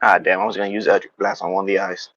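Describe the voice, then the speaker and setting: A man's voice: low voice, little pitch movement, racing, a bit bright, no room sound, muffled recording. A man taking part in a tabletop role-playing game as a player, talking casually about his moves and rolls.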